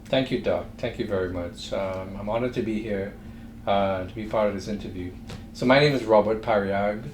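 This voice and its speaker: A middle-aged man with a Trinidadian accent, a guest speaking in a thoughtful, measured way with frequent pauses. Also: lowish voice. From Trinidad and Tobago. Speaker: guest entrepreneur. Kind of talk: interview conversation. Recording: echoey room with faint noise.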